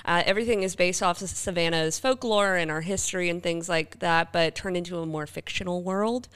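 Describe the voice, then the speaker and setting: A woman's voice: on the low side, fairly quick, fairly bright tone, clear, resonant tone. A woman hosting a podcast in a casual, conversational style. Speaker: podcast host. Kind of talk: casual chat.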